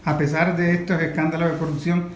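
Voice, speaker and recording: A man's voice: fairly high pitch, level tone, a little fast, slight background noise. A man with a Venezuelan accent hosting a news talk show, presenting topics and putting questions to guests. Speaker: host. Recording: roomy sound with faint music.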